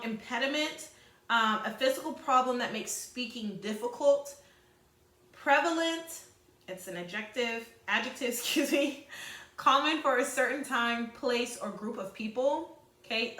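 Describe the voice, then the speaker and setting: Bright-voiced woman teacher in an echoey room. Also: fairly high pitch. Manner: informal, addresses pupils as y'all; halting, self-correcting reading. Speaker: sixth-grade teacher. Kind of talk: read-aloud lesson with explanatory asides to pupils.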